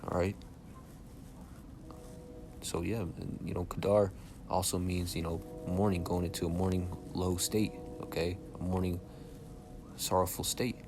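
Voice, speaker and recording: Soft-spoken young man teaching scripture, very low. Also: little pitch movement, a little slow, pauses often, over music. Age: young adult. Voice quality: soft-spoken by his own account. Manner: casual, checks in constantly with "all right?" and "okay?". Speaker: Bible teacher.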